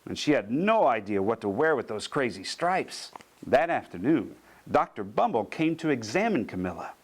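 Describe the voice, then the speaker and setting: Guy reading a kids bedtime story, very lively up and down delivery, medium pitch. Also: normal speed. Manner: animated, dramatic emphasis. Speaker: storybook reader. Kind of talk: expressive children's bedtime story narration.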